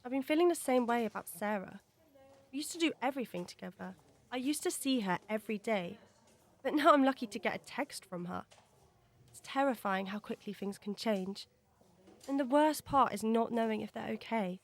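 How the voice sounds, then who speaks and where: A caring teenage schoolgirl, played by a young woman in an audio drama. Her voice is fairly high. She speaks gently and supportively, as a close friend would. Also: animated, moderate pace. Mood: sympathetic. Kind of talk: scripted audio drama dialogue.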